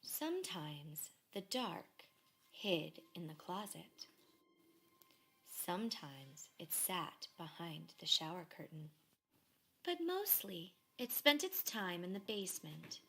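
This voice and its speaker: A woman reading a children's story aloud expressively, in measured phrases that often fall to a hush. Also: fairly low pitch, very animated, gravelly, breathy edge. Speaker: storybook reader.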